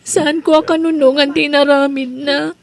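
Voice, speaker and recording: A woman's voice: sits high, little pitch movement, moderate pace, vocal fry. An actress playing a devoted, anguished young woman in an Ilocano audio melodrama, speaking earnestly and emotively with an Ilocano accent. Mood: grieving. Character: Edna, devoted young woman, protective, anguished over her uncle. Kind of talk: Ilocano radio-style melodrama acting. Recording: music under the voice.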